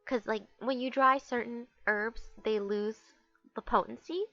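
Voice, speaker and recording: A woman's voice: fairly high pitch, steady tone, unhurried, sharp tone, soft background music. A young woman with a stuffed-up, nasal voice, chatting casually and rambling with lots of fillers while she draws. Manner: bubbly, rambling, many fillers. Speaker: artist chatting while drawing. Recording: dull lo-fi recording.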